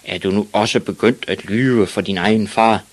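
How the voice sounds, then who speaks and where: Man playing a gruff, deep-voiced scolding father. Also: steady tone, lightly acted. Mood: incredulous. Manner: loud, blustering.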